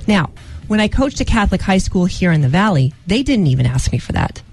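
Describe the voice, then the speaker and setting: A woman's voice: fairly low pitch, sing-song, brisk, darkish tone, husky, dry recording, soft background music. A woman with an American accent co-hosting a radio show in casual, insistent banter. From Colorado, United States. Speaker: radio morning show co-host.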